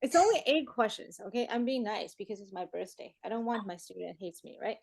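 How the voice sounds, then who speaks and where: High-pitched playful woman teacher in her forties. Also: expressive, fairly quick, gravelly, a little mellow, breathy edge, no room sound. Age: 40s. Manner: playful, teasing, often chuckling. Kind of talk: interactive online class chat.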